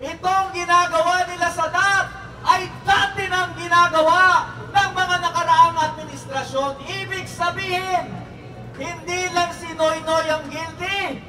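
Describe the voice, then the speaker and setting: A man's voice: very high-pitched, fairly even intonation, measured pace, music in the background. A Filipino man giving a fiery political speech, emphatic and rhetorical, setting out his points one by one. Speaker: protest rally speaker. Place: outdoor street rally. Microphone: public address microphone. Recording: echoing distant loudspeaker, crowd and noise.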